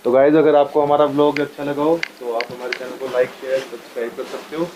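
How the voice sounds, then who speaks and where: A man's voice: mid-range voice, flat delivery, quick pace, noisy background. A man hosting a casual vlog and asking questions in a polite, attentive way. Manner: polite, attentive, frequent short acknowledgements. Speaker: vlog host. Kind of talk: casual vlog presenting and interviewing.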